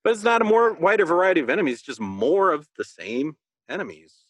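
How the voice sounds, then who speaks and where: A man's voice: fairly high pitch, sing-song, a little fast, fairly bright tone. A man co-hosting a podcast, chatting casually about a video game and rephrasing as he thinks aloud. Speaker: podcast co-host.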